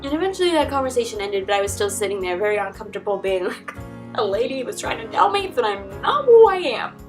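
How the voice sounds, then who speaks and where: A young American woman chatting casually to camera as a vlogger, with an animated way of telling personal stories. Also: fairly high pitch, fast. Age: young adult. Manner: animated, acts out quoted conversations. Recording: distant mic, background music. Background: acoustic folk instrumental music.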